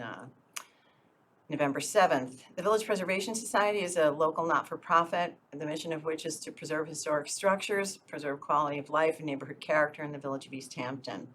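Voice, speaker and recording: A woman's voice: sits low, a bit bright, slight background noise, low-quality recording. A woman moderating a local candidates debate, introducing the speakers in a friendly, informal way with some fillers.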